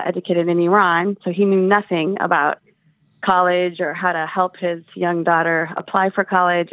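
A woman's voice: on the low side, dry recording. A woman in her forties, a professor calling in, speaking in a reflective, articulate way. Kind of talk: phone-in testimony. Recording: phone line.

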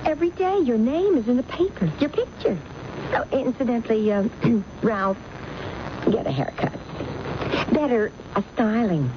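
An actress playing a worldly, composed show-business manager in a 1970s radio drama, knowing and conversational. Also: female voice, fairly high pitch, lively intonation, moderate pace, natural voice. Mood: breezy, familiar. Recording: muffled, noisy old radio recording.

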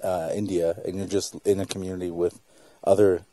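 A male comedian guest on a podcast, joking loudly and laughing a lot in casual chat. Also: sits low, flat delivery, fairly quick, dry recording. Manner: loud, jokey, laughing a lot.

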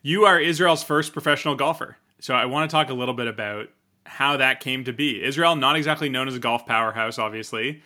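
A man's voice: mid-range voice, fairly quick, pushed and bright. A man co-hosting a casual sports podcast, joking and rambling before interviewing a guest. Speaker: podcast co-host.

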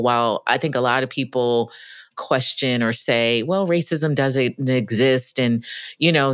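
Woman, very deep voice, emphatic podcast co-host, dry close sound. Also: no echo. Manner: emphatic, lively, preacherly. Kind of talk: conversational podcast discussion.

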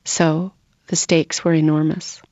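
Low, even-voiced woman, slightly muffled. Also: a little slow, no echo. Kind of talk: reflective interview account. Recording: dull, muffled sound with faint music.

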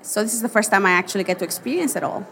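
A woman's voice: mid-range voice, racing, a bit bright, slight background noise. A woman with a Spanish accent, a native Spanish speaker, talking earnestly as a guest in a casual interview. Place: busy convention floor.

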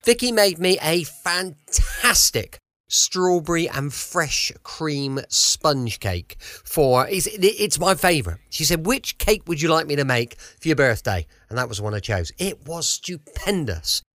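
A man's voice: on the high side, big pitch swings, a little slow, a bit bright, dry recording, clean studio sound. An English man hosting a podcast with a chatty, rambling and effusive introduction. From United Kingdom. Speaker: podcast host.

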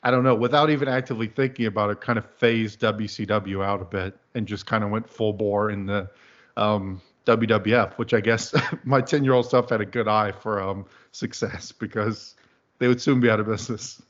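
A man in his thirties from the American Deep South, chatting casually as a podcast guest in a meandering, dryly humorous way. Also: steady tone, moderate pace. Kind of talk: casual chat. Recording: dull lo-fi podcast audio.

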